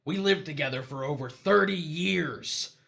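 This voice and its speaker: An actor playing the guilty spirit of a dead husband, pleading and agitated, in an audio horror drama. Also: male voice, fairly high pitch, unhurried, creaky, sharp tone, heavily acted. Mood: desperate, defensive. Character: desperate, guilty dead husband's spirit, begging his wife.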